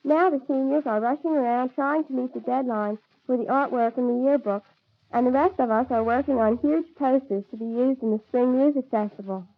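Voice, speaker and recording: Dull 1940s radio recording, high teenage female voice, American, scripted student news report. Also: a little fast. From United States. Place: live radio broadcast.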